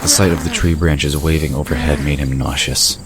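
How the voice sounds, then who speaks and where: Very deep-voiced male narrator. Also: steady tone, moderate pace, a crowd in the background. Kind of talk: scripted audio drama narration. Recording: noisy ambient sound effects behind.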